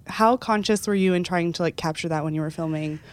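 A woman's voice: on the low side, quick pace. A woman from Texas asking interview questions in a casual, enthusiastic way, with many fillers.

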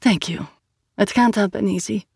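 A narrator voicing a grateful woman host who speaks sincerely and gently. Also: mid-range voice, moderate pace, vocal fry, husky, dry recording, studio quality, pitched above the performer's own voice.

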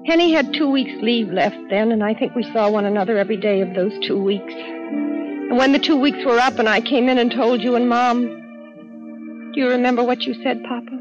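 An actress playing an earnest, wistful young wife in a sentimental 1940s radio drama. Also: female voice, on the high side, moderate pace, natural voice. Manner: sentimental, reflective. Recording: muffled 1940s radio broadcast, loud music behind.

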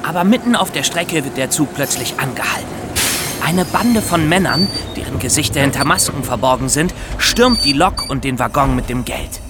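A man's voice: on the high side, lively intonation, moderate pace, loud music behind the voice, close to an everyday voice. A young man playing a curious podcast co-host, explaining true crimes in a scripted show for children. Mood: explanatory, suspenseful.

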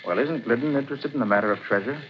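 An actor playing a confident private detective in a 1940s radio drama, talking in a brisk, assured way. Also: male voice, medium pitch, natural voice. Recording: dull lo-fi 1940s radio recording with loud hiss.

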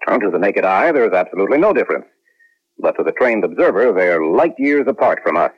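A man's voice: deep voice, sing-song, quick pace, slightly rough, full character voice. An American man acting a stern, proud colonel who trains clones, commanding, in a 1970s radio drama. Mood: assured. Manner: commanding, authoritative. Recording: muffled lo-fi 1970s radio broadcast.

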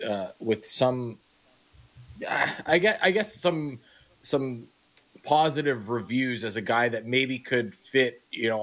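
A man's voice: medium pitch, fairly bright tone. A man hosting sports radio, asking a guest long and detailed questions about hockey.

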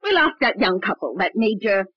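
A woman's voice: medium pitch, big pitch swings, moderate pace, no echo. Period radio role: insistent First Lady, formal, swooping delivery, dry dull vintage sound. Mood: anxious. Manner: formal, emphatic, theatrical. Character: anxious, insistent, genteel President's wife. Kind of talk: 1950s radio drama acting.

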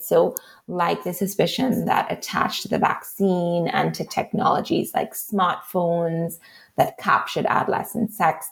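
A woman with a Trinidadian accent, an author answering interview questions in a thoughtful, measured way. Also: on the low side, creaky. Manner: thoughtful, measured, frequent "right?" and "um". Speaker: author and researcher, interview guest.

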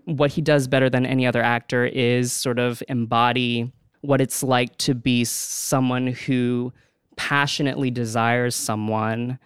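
Man, very smooth resonant voice, dry close sound, animated chatty. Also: mid-range voice, dry recording. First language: Cantonese. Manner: animated, with laughing asides. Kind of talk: casual chat.

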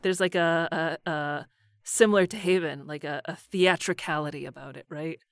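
A woman's voice: sits low, normal speed, no echo. A woman voice actor chatting casually as an interview guest, with informal, loosely built phrasing. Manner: informal, hesitant phrasing full of "like". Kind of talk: casual cast interview chat.

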